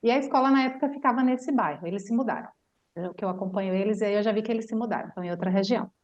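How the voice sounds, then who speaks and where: A woman with a Brazilian Portuguese accent, a language teacher telling a lively travel story in casual chat, full of fillers. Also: medium pitch, very fast, lo-fi sound. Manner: animated storyteller, chatty with many fillers.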